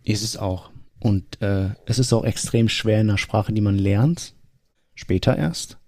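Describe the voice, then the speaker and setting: Low mellow man, matter-of-fact. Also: unhurried, no room sound, clean studio sound. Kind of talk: casual chat.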